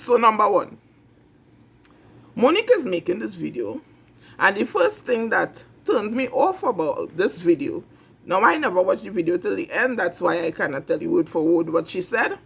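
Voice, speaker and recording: A man's voice: high voice, gravelly, fairly bright tone, husky. A man with a Trinidadian accent hosting his own talk show, giving a blunt, emphatic opinion monologue. He repeats his points for emphasis and swears freely. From Trinidad and Tobago. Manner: emphatic, repetitive, blunt and profane. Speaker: host of an online talk show.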